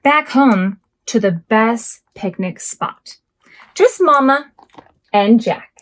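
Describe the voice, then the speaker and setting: A woman hosting a preschool storytime as a children's librarian, reading picture books aloud expressively with drawn-out words. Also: on the high side, low-quality recording. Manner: expressive, drawn-out words and acted sound effects.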